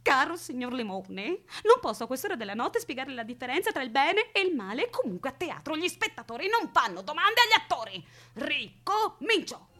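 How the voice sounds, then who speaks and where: A high-pitched woman captor, whimsical and unhinged. Her delivery swings widely, dreamy one way and bossy the other, in a theatrical radio-play style, with faint music behind her. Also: sing-song, brisk, sharp tone, full character voice. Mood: haughty, lecturing. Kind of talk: stage-style radio drama acting.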